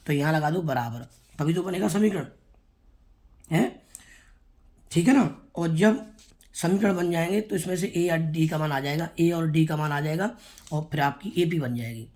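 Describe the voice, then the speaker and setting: A man teaching a school math lesson, with a sore throat he keeps clearing. He speaks straight to the children and repeats each step. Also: a little high. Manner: repetitive, explains step by step, addresses the children directly. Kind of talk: classroom math lesson for students.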